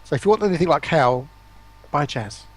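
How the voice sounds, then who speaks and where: Higher-pitched man chatting. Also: lively intonation, a little fast. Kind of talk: casual stream chat.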